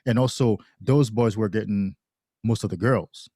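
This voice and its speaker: A man speaks low in his register, working through his thoughts slowly with many restarts. He sounds like a podcast guest in relaxed conversation. The recording is dry and close, with no room sound. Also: slightly rough, no echo. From Haiti. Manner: thoughtful, halting with frequent restarts. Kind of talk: casual conversation.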